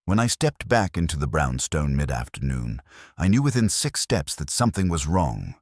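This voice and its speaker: A man's voice: extremely low pitch, very animated, moderate pace, a little mellow, no echo, studio quality. A man reading a detective story aloud as a scripted audiobook narration.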